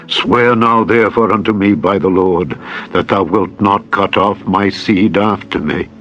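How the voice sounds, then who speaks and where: An aging king in a scripture drama, grave and full of regret. The voice is low and drawn out, on a dull recording with music. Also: fairly bright tone. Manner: slow, grave, sorrowful.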